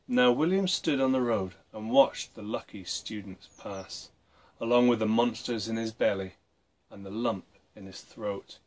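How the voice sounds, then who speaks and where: A man reading a story aloud for children, with expressive, deliberate phrasing. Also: mid-range voice. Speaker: storybook reader and presenter.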